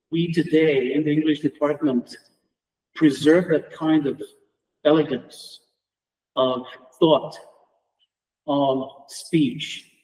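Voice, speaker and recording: A middle-aged man from Romania with a Romanian accent, a native Romanian speaker giving a reflective memorial tribute as an English department lecturer, measured and halting with restarts. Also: a little high, darkish tone, recorded from a distance, poor audio. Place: lecture room at a memorial round table.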